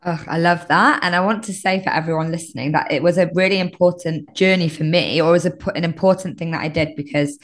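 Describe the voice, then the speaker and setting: Podcast host: woman in her thirties, very low voice, eager and affirming in conversation. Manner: enthusiastic and affirming.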